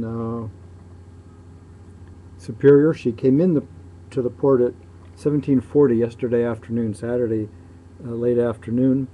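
A man giving casual, off-the-cuff video commentary in a matter-of-fact way, often pausing between phrases. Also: mid-range voice. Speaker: local shipping news publisher filming ships. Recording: muffled dark sound, clear background noise.

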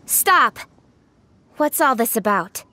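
A woman's voice: on the high side, sing-song, slow, bright, forceful tone, a little hiss or hum, heavily acted. A woman voicing a confident, blunt young noblewoman and official in a fantasy video game, formal and assertive. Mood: stern.

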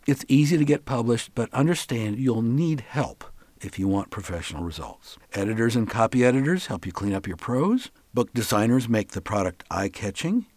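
A man hosts a talk radio show with a lively, swinging intonation. He chats in a folksy, conversational way. The sound is dry, with hardly any room in it. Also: mid-range voice, no room sound.

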